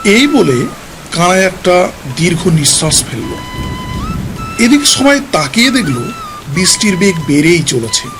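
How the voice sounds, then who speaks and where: A man's voice: sits high, very animated, a little slow, long pauses, rough recording. A man giving a dramatic scripted narration of a ghost story as storyteller. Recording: music behind the narration.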